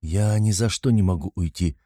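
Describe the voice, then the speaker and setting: A man's voice: low voice, moderate pace, dark tone, no room sound, clean studio sound. A man voicing a timid, anxious middle-aged mother in an audiobook, tearful and easily frightened, pleading and fretful. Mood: pleading, distressed.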